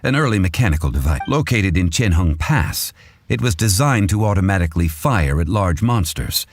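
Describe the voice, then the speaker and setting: An actor playing a refined, patient gentleman scholar in a video game, formal, measured and erudite. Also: low-pitched, expressive, dry recording. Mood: thoughtful. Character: refined, learned gentleman consultant, patient and composed. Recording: game music behind. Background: game music.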